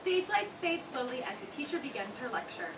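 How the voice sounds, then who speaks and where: A woman's voice: high-pitched, sing-song, fairly quick. A woman reading out stage directions as a plain narrator at a live play reading. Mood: matter-of-fact. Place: read before a live audience, far camera. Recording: very noisy, distant room recording.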